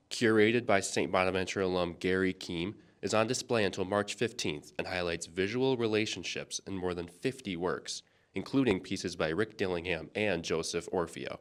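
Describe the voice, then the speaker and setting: A man's voice: low voice, fairly bright tone, dry recording. A young man narrating scripted news packages as a student TV reporter. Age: young adult.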